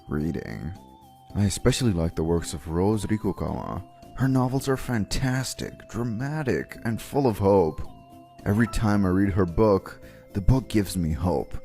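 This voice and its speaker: Man voicing an expressive, low-voiced weary young office worker. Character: exhausted, polite, flustered young male office worker. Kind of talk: anime-style manga dub narration and dialogue.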